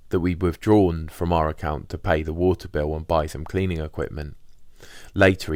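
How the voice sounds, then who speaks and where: A man's voice: sits low, no room sound, clean studio sound. A man narrating a first-person diary as a scripted audiobook.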